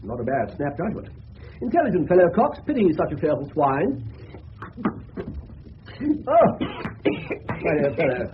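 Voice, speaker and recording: An actor playing an eccentric, commanding senior police officer in a 1970s radio drama, with an upper-class English accent and a pompous, whimsical drawl. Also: male voice, a little high, sing-song, moderate pace, long pauses. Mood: brisk, musing. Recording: muffled narrow-band old radio recording, hiss.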